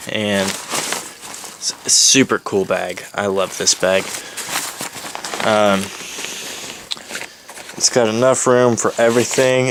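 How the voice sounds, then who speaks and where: A man giving a casual, unscripted walkthrough in a halting, informal way, as if thinking aloud, indoors on a handheld camera. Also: fairly low pitch. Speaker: hiker presenting his gear. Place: indoors in a room at home. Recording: background hiss, handling clicks and crinkling.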